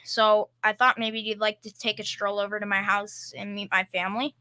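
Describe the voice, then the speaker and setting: A woman's voice: medium pitch, flat delivery, moderate pace, bright, forceful tone, dry recording, lightly acted. A young female performer playing a blustering, cowardly middle-aged father in old-time radio comedy style, folksy, wisecracking and ungrammatical. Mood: resolved, kindly. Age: teenager.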